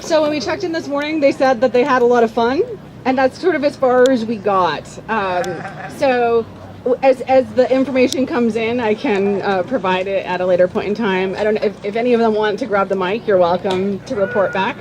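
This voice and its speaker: A woman speaking informally as a professor moderating a session at an event. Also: brisk. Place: outdoor campground at an amphitheater. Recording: noisy outdoor event with crowd and rumble.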